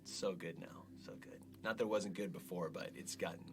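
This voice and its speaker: A man's voice: low voice, fairly even intonation, brisk, in a whisper, soft background music. A man co-hosting a podcast in casual banter, emphatic and effusive, repeating himself for stress. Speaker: podcast co-host.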